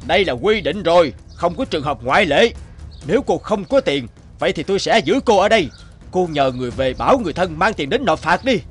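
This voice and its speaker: A gruff, greedy cartoon bully. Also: male voice, a little high, sing-song, fairly quick, faint music behind. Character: bullying, greedy male temple thug.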